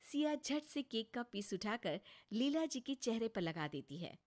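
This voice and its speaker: A woman's voice: a little high, big pitch swings, a little slow. A woman narrating a scripted story as a storyteller.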